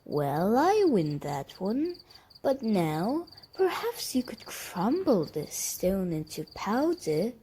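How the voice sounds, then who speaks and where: A woman's voice: mid-range voice, sing-song, slow pace, dark tone, slight background noise. A woman voicing a clever, cheerful male hero in a children's story, with a breezy, teasing manner. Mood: confident, challenging. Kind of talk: children's storybook character reading.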